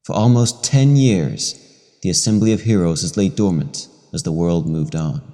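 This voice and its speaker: Casting: man as solemn old-guard superhero chief, low, mellow, formal, in a long hall reverb. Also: moderate pace. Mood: solemn, ceremonial. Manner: stately, formal, oratorical. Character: grand, solemn veteran telepathic superhero leader. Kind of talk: radio play acting.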